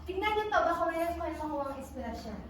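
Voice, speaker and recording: A woman acting a patient female teacher in a school stage play, explaining with deliberate projection. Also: very high-pitched, expressive, a bit bright. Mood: instructive. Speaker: actor in a school one-act play. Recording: very echoey hall, distant mic, faint noise.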